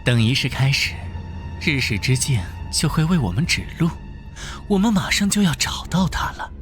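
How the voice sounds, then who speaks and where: A man playing a servile, calculating cult villain in an animated drama, theatrical and conspiratorial. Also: fairly high pitch, normal speed, faint music behind. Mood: sinister, scheming. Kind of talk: Chinese animated drama voice acting.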